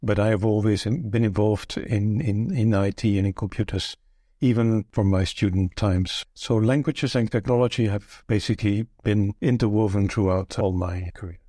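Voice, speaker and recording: A man's voice: fairly low pitch, fairly even intonation, moderate pace, dark tone, no room sound, studio quality. An older man from Belgium whose first language is Dutch, recalling his career thoughtfully as an interview guest with frequent restarts. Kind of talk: relaxed conversational interview.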